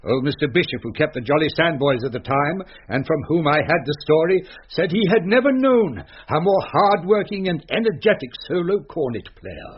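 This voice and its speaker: An actor playing a pompous, verbose, easily irritated gentleman host who tells a ghost tale in period radio comedy style. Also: on the high side, very animated, normal speed, few pauses, slightly rough, muffled recording. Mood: mock-solemn, testy.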